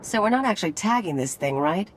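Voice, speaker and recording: A woman's voice: medium pitch, animated, quick pace, slight background noise, close to an everyday voice. An actress playing an irreverent, money-minded young female mercenary sidekick in a video game. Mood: flippant, griping.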